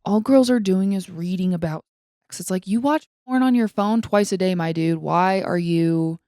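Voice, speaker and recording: A woman's voice: lowish voice, normal speed, warm tone, dry recording, clean studio sound. A young woman co-hosting a podcast, chatting casually and giving opinions in very informal speech sprinkled with "like" and mild swearing. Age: young adult. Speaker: podcast co-host.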